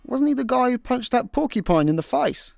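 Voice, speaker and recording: An actor playing a dim, laid-back intergalactic slacker on the run in a comedic sci-fi radio play, deadpan, with a Scottish accent. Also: male voice, extremely high pitch, brisk, gravelly, faint music behind, full character voice. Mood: bemused.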